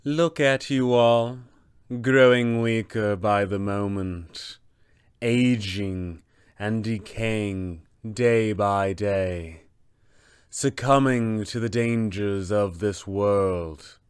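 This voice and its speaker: An arrogant goddess of winter , acted out by a man, speaks in a grand, very slow cadence. She is a merciless tabletop-game villain who addresses mortals imperiously, in a clean, smooth tone. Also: fairly low pitch, no room sound, studio quality, voice pushed down. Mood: haughty, menacing. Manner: grandiose, imperious, drawn-out delivery. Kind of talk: tabletop RPG villain monologue.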